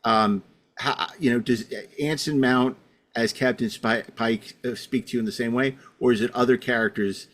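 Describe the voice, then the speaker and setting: A man's voice: medium pitch, fairly even intonation, moderate pace, a bit bright. A man hosting a podcast in a casual, wry and opinionated conversational style. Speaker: podcast host. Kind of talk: casual podcast interviewing and chat.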